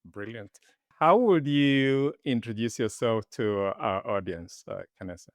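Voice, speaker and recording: A man hosting a podcast interview, introducing his guest and asking measured, polite questions. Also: fairly low pitch, no echo. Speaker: podcast host.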